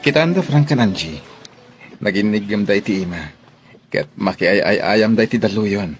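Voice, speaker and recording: An actor playing an easygoing young master in a radio drama, casual and coaxing. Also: male voice, fairly low pitch, expressive, moderate pace, soft background music, lightly acted. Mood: coaxing, teasing. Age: young adult.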